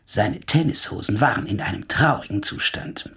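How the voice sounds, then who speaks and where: A woman's voice: extremely low pitch, animated, moderate pace, creaky, fairly bright tone, exaggerated voice. An actress playing a defensive, gossipy landlady with an insistent, self-justifying manner in a German radio play. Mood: gossipy, aggrieved.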